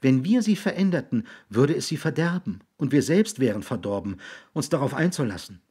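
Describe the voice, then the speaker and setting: A man's voice: mid-range voice, very animated, fairly quick, husky, pitched above the performer's own voice. A man voicing earnest young boys in an audiobook, solemn and patiently insistent. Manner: patient, gently insistent explaining.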